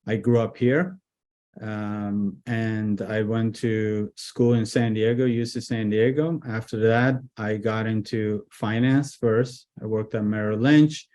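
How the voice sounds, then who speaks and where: A man originally from Iran, speaking as a business guest in a casual interview, relaxed with frequent fillers and restarts. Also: fairly low pitch, slow pace. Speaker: guest, IT executive director.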